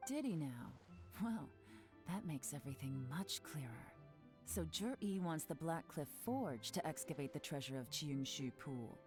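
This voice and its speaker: Shrewd investigator, low-pitched, cool, analytical, faint game score. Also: female voice, animated, unhurried, music in the background. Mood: calm. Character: composed, shrewd woman investigator. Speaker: game character.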